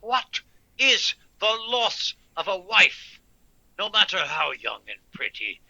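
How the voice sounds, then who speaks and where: Radio play baron: proud merciless fanatic, hard bright edge, gravelly, formal and imperious. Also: male voice, high-pitched, sing-song, slow, heavily acted, low-quality recording. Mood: grandiose, fanatical. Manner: pompous, imperious, formal archaic speech. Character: proud, zealous, ruthless baron and hunter of the unholy. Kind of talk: radio drama acting.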